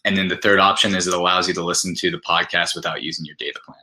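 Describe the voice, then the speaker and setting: A man's voice: low voice, fairly even intonation, rapid-fire, pushed and bright. A man speaking as an interview guest, explaining his product in an enthusiastic, conversational way and laying out points one by one.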